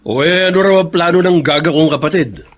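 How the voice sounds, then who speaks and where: A woman's voice: fairly low pitch, quick pace, close to an everyday voice. A woman acting a bitter, harsh grown child in a Filipino radio drama, scornful and blunt about an aged parent. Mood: scornful, irritated.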